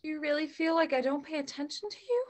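A woman's voice: high voice, fast talker, close to an everyday voice. A young woman playing a hurt, tearful, dazed mother in a play reading over a video call. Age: young adult. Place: bedroom, indoors.

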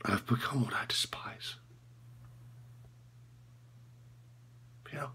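A man performing a dramatic monologue as a bitter, disillusioned basketball coach, speaking in a halting, repetitive, sardonic way with a husky edge. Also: on the high side, sharp tone, full character voice. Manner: halting, repetitive, sardonic, swinging from muttering to outbursts. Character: disillusioned, bitter male college basketball coach confessing corruption. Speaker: actor performing a film monologue.

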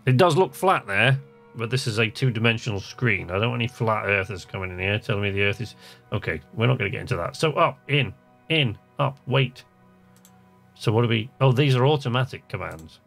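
A man's voice: on the low side, lively intonation, a little fast, creaky, fairly bright tone, soft background music. A man casually commentating on a game he plays, chatting and muttering to himself and reading on-screen text aloud. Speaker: let's play host.

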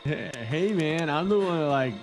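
A man's voice: on the high side, expressive, measured pace, over music, low-quality recording. A man voicing a spaced-out stoner counselor in a game, drawling and tacking on "man". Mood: dazed. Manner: drawling, ends lines with "man".

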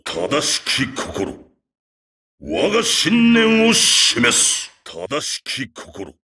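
An actor playing a ruthless, arrogant old martial-arts master in a fighting game, barking taunts and battle cries in a gravelly, husky voice. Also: male voice, wildly swooping pitch, very slow, bright, forceful tone, exaggerated voice. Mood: stern. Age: older. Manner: barks short commands and taunts, booming laugh. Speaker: video game character.

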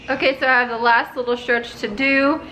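A woman in her thirties with a creaky voice chats casually as a home cleaning vlogger. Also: high-pitched, fairly even intonation, brisk, over loud music, rough recording. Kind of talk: casual vlog chat. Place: indoors at home.

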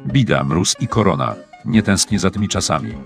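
A man plays a scheming cartoon handyman with a lowish voice. He lays out doom and repairs in the same calm, fatalistic deadpan, with music clearly playing behind him. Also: fairly quick. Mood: matter-of-fact. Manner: matter-of-fact, deadpan. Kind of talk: dubbed comic cartoon dialogue in Polish.

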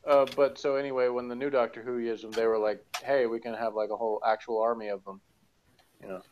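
A man's voice: medium pitch, fairly even intonation, quick pace, darkish tone, lo-fi sound, a little hiss or hum. A man co-hosting a casual chat, rambling with lots of fillers and restarts. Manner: rambling, lots of "you know" and "like".

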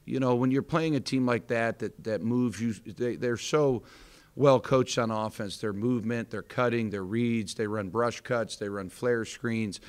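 A man's voice: medium pitch, a little slow. A man in his thirties with an American accent, a head basketball coach speaking candidly at a postgame press conference, with many fillers and restarts. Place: indoor press room in front of a sponsor backdrop. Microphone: table microphone in front.

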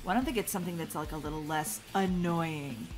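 A woman's voice: on the low side, a little fast, loud music behind the voice, close to an everyday voice, low-quality recording. A woman voicing a sullen, bored teenage cheerleader with a dismissive drawl in a cartoon skit.

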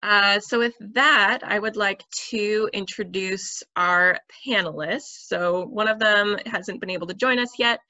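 A woman's voice: medium pitch, a little slow, hardly any pauses, bright, forceful tone, no room sound. A woman moderating a panel on a video call, introducing speakers in a friendly, orderly way. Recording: dull, dry video call audio.

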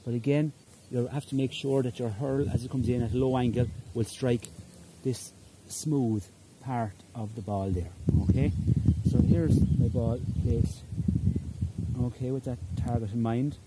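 A middle-aged man from Ireland who coaches hurling and gives a casual demonstration on a large rural lawn, talking in a relaxed way with frequent "okay?" checks and fillers. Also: mid-range voice, a little slow, very noisy. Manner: relaxed, repeats "okay?" often, hesitant fillers. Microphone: phone camera at ground level.

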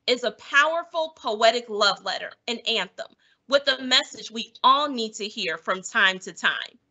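A woman's voice: fairly high pitch, pushed and bright. A woman in her thirties hosting an online event with an upbeat scripted introduction. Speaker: event host.

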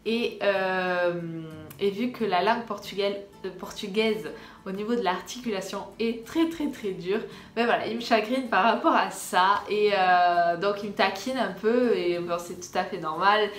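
A woman's voice: mid-range voice, normal speed. Echoey young Frenchwoman chatting to viewers. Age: young adult. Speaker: vlogger. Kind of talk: casual storytime chat to viewers. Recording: echoey room with faint background music.

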